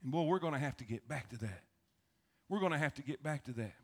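A male pastor with a Southern US accent, preaching in a folksy, animated storytelling way and repeating phrases for emphasis. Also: medium pitch, quick pace. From South Florida, United States. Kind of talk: sermon, folksy storytelling to a congregation.

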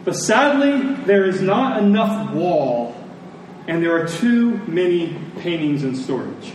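A man preaching a sermon in a conversational, deliberate way, telling stories and explaining scripture, pausing and repeating phrases for emphasis. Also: high-pitched, very animated, darkish tone. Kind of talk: sermon with storytelling and scripture exposition. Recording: echoey room, distant mic, faint noise.

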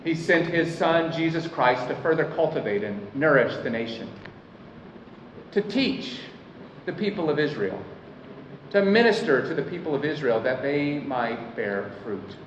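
A man's voice: fairly high pitch, lively intonation, a little hiss or hum. Earnest man preaching in an echoey hall. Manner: earnest preaching, slows to stress key lines. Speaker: pastor. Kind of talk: sermon. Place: church hall. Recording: echoey hall, mic at a distance, dull sound.

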